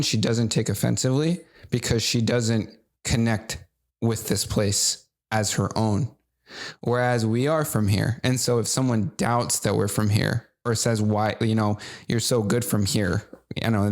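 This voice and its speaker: Probing man podcast co-host. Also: on the low side. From Rhode Island, United States. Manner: probing, questioning, talks in bursts. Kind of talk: casual podcast chat.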